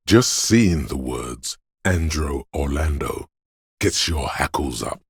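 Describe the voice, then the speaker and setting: A man voicing a paranoid, aggressive inner voice of primal fear in a video game, menacing, urgent and ominous, with a gravelly, husky sound. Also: very deep voice, no room sound, clean studio sound, full character voice. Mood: tense, menacing. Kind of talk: video game voice acting, second-person dramatic narration.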